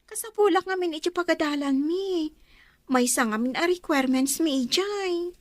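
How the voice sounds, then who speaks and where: A woman's voice: very high-pitched, moderate pace, dry recording. A woman playing a coaxing, wheedling schoolgirl in a radio drama, with an Ilocano Filipino accent. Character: coaxing schoolgirl, younger and self-interested.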